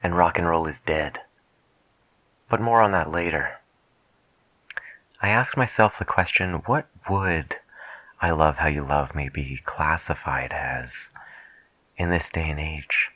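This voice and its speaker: Deep, slow, soft-spoken male radio host. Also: no room sound. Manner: measured, reflective, hushed. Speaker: late night radio host character in an ASMR roleplay.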